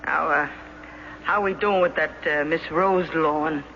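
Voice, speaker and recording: A woman's voice: low-pitched, steady tone, moderate pace. A woman playing a plain-spoken, pushy, motherly landlady in a radio drama, with a blunt, folksy, prodding manner. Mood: prodding, encouraging. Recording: dull, noisy old radio broadcast.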